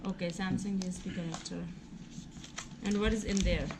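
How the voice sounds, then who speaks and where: A woman's voice: on the low side, fairly even intonation, moderate pace. A woman hosting a casual unboxing video, talking through each step aloud in an exclamatory way, on a noisy recording. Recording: clearly noisy home recording with clicks.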